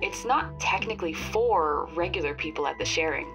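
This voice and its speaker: A woman plays a chatty, old-fashioned helper in a podcast drama. She confides in a lowish voice, with clear music playing behind her. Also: lively intonation, moderate pace. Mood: chatty, confiding. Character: Nancy, chatty, helpful, slightly old-fashioned female informant. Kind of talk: audio fiction podcast acting.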